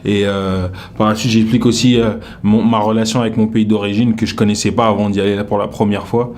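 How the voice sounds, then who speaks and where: Man, Paris-suburbs accent, interview chat, easygoing, lowish pitch. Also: fast, slight background noise. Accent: French from the Paris suburbs, slightly Québec-tinged. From France. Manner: relaxed, rambling, with frequent fillers. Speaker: comedian as interview guest. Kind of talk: casual interview.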